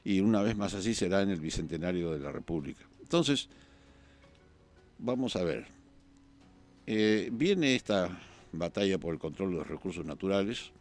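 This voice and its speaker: Un periodista peruano, invitado en un programa de debate político, que habla pausado y piensa en voz alta entre muletillas. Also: male voice, low voice, animated, a little mellow, faint music behind. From Peru. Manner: measured, thinking aloud with pauses and fillers. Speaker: journalist guest. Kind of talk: political talk-show discussion.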